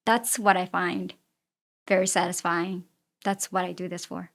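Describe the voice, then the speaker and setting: A woman who is a native Chinese speaker, answering interview questions as a real estate agent in an earnest, halting way. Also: fairly low pitch, fairly even intonation. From immigrated with a Chinese-speaking family. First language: Chinese (Mandarin and Fujianese).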